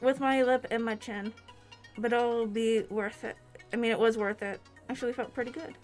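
A woman's voice: fairly high pitch, fairly even intonation, normal speed, a little mellow, soft background music. A young woman chatting casually as co-host of a reaction video, speaking through a cloth mask. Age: young adult. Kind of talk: casual chat. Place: small furnished room at home, on a couch. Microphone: mic out of frame, speaking through a cloth mask.

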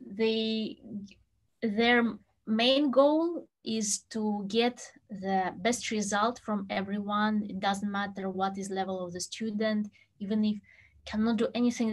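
A woman in her thirties from Russia, a native Tatar speaker, talking through an interview in English that is not her first language, thoughtful and quick to laugh, recorded from home on a webcam. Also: mid-range voice, fairly even intonation. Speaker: pianist. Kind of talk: interview answers. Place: home room with a piano, indoors.

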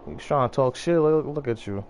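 A man's voice: medium pitch, expressive, moderate pace. A man with a slightly scratchy morning voice giving casual, profane let's play commentary to viewers. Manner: slangy, profane, talking to viewers. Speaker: video game streamer. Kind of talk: casual let's play commentary with slangy banter, reading dialogue aloud. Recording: game music behind the voice.

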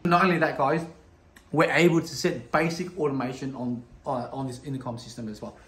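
A man giving an unscripted product demo to viewers, halting and repeating himself as he goes. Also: mid-range voice, steady tone, fairly bright tone. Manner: halting, repetitive, addressing viewers as guys. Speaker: product demonstrator. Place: indoor room, desk demo. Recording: echoey room with background noise.

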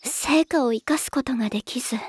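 A woman playing a modest, soft-spoken teenage girl idol who speaks very formally in an anime drama. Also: sits high, a little fast, breathy edge, no room sound. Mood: polite, bashful. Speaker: voice actress in character. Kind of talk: anime audio drama dialogue.

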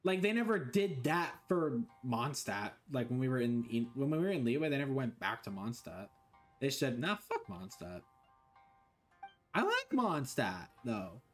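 A man streaming a video game, talking over it in a loud, sarcastic way and swearing a lot. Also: mid-range voice, wildly swooping pitch, a little slow, faint music behind. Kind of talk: casual game commentary.

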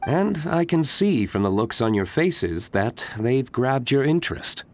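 A man voicing a cool, reserved young nobleman in a video game, speaking calmly and formally. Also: medium pitch, a little slow. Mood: calm, reassuring. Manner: calm, terse, formal. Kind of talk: video game character dialogue. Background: game music.

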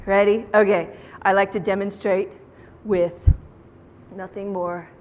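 A woman giving a motivational keynote talk to an audience on stage, with an animated, theatrical delivery and playful emphasis. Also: mid-range voice, unhurried, a little hiss or hum. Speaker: keynote speaker. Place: large indoor conference room, on stage. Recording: echoey conference hall sound.

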